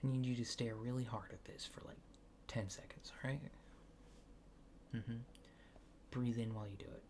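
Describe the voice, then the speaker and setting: A man doing a soft-spoken, often whispered ASMR roleplay as a casual, polite alien technician, rambling hesitantly in a low-key, reassuring way. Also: steady tone, normal speed, slight background noise. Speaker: ASMR creator.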